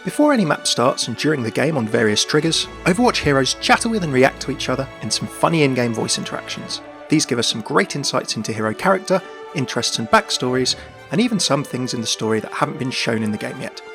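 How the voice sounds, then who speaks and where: A man's voice: medium pitch, big pitch swings, fast, gravelly, over music. A man narrating a scripted video about the lore and backstory of a game character.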